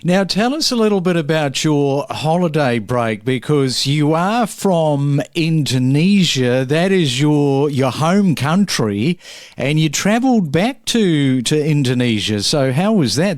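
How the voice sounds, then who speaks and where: Higher-voiced man hosting a podcast. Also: expressive, measured pace, darkish tone, no echo, clean studio sound. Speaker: podcast host. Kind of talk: breakfast podcast interview.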